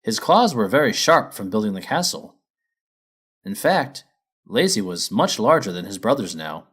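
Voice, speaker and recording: A man's voice: fairly low pitch, big pitch swings, moderate pace, a bit bright, husky. A man reading a children's story as its narrator, in a scripted storybook style.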